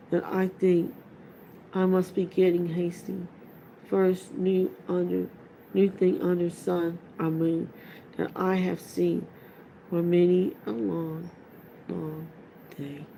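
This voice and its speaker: Storybook ancient tree being, male female reader, deliberate and hesitant, mellow creaky tone, faint noise. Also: on the low side, little pitch movement, rough recording. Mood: fond, solemn. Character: ancient, slow, ponderous male tree creature.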